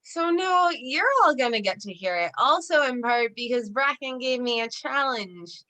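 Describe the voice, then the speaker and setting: Bright-voiced, high-pitched woman chatting casually. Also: lively intonation, normal speed. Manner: informal, sweary. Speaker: poet at a poetry gathering. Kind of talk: casual chat.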